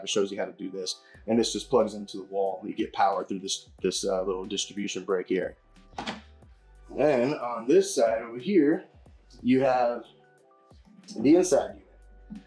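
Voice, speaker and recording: A man's voice: fairly low pitch, a little mellow. A man reviewing a product in a casual, hands-on demonstration, explaining informally and often repeating himself.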